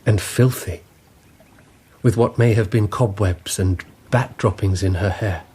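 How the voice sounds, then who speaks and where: An actor playing a dreamy, curious emperor in a radio drama, speaking in a measured, stately, wondering way. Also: male voice, fairly low pitch, darkish tone, breathy edge. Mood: intent, fascinated.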